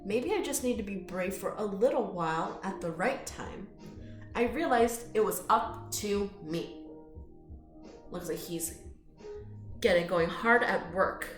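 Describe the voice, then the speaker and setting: A woman reading a picture book aloud to children in an expressive, inviting way, with friendly chatty asides. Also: medium pitch. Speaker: children's storytime reader. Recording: roomy echo.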